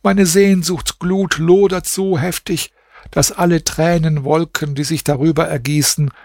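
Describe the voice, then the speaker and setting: A man's voice: fairly high pitch, measured pace, creaky, a little mellow, no room sound, clean studio sound, pitched above the performer's own voice. A man voicing a tearful captive princess. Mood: mournful. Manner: mournful, pleading. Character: grieving captive young princess, tearful wife.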